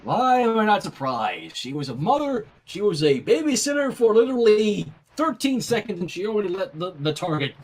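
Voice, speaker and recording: Male fan fiction narrator, wry and very lively, slightly husky, breaks off to comment. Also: high voice, a little fast, hardly any pauses. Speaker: story reader. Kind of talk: fan fiction reading with casual asides.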